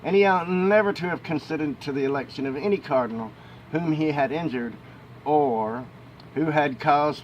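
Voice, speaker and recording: Higher-voiced man reading aloud, noisy recording. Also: muffled recording. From United States. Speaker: amateur audiobook reader. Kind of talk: reading a historical book aloud, with informal asides. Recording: noisy home recording with ambient rumble.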